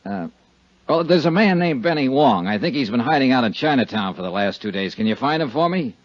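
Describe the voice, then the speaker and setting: An actor playing a shrewd, hard-boiled insurance investigator in a 1950s American radio drama, speaking in terse, clipped lines. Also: male voice, medium pitch, lively intonation, a little fast, lightly acted. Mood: brisk, familiar. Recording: muffled 1950s radio recording.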